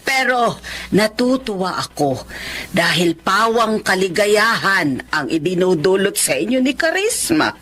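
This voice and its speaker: A woman's voice: medium pitch, sing-song, a little slow, a bit bright, heavily acted. A woman playing a nosy, blunt friend in a radio drama, gossipy and exclamatory. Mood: curious, impressed.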